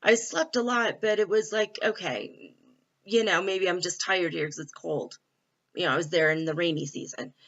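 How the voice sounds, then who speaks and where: A woman's voice: on the low side, fairly even intonation, moderate pace, a bit bright. A middle-aged woman from California talking casually and candidly into her laptop, with many fillers and little laughs. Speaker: vlogger. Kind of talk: casual spiritual vlog. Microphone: laptop built-in mic. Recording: dull lo-fi laptop mic.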